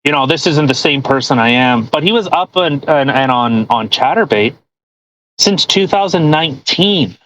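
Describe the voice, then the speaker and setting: A man's voice: on the high side, lively intonation, moderate pace, a bit bright, low-quality recording. A man hosting a podcast in casual, mocking commentary with crude joking. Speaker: podcast host.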